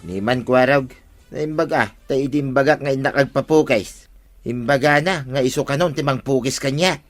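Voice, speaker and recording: A man's voice: mid-range voice, moderate pace, lightly acted. An actor playing an offended, grumbling village man in a radio comedy drama, plain-spoken, with an Ilocano Filipino accent.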